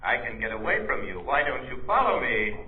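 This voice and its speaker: An actor playing a commanding, taunting crime-fighting avenger through a filter, declaiming in old radio melodrama style. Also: male voice, mid-range voice, very animated, fairly quick, slight background noise. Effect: telephone-like filter. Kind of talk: 1940s radio melodrama acting. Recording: thin, echoey vintage radio recording.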